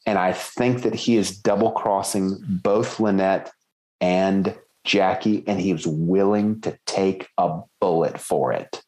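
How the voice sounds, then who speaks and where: A man co-hosting a casual book-club podcast, thinking aloud and hedging as he weighs theories with lively emphasis. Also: deep voice, slow, low-quality recording. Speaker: podcast co-host.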